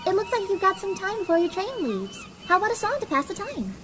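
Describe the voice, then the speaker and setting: A perky young cartoon girl, played by a woman with an extremely high voice. She is cheeky, playful and coaxing, with faint noise behind her. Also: big pitch swings, quick pace. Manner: playful, persuasive.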